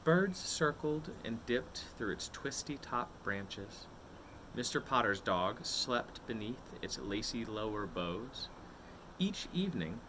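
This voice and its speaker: Lively, slow-paced man reading a picture book. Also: medium pitch, noisy background. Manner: greets and addresses young listeners directly. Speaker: read-aloud host for children. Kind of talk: expressive picture-book read-aloud.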